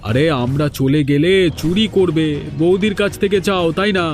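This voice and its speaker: A man's voice: high voice, moderate pace, soft background music, close to an everyday voice. A man voicing a suspicious, dismissive, taunting village man in a cartoon drama. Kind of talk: Bengali cartoon voice acting.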